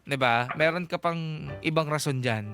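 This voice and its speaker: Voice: mid-range voice, moderate pace, a bit bright. A Filipino radio host giving conversational advice to a caller in a direct, insistent way, mixing Tagalog phrasing with English. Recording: music bed under voice. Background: background music.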